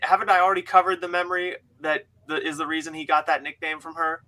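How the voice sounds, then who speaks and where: A man's voice: fairly high pitch, flat delivery, quick pace, pushed and bright, poor audio. A young man hosting a casual livestream, reading out comments and bantering jokily, laughing through his words and swearing. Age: young adult. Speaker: author hosting a read-along livestream. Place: indoor room at home.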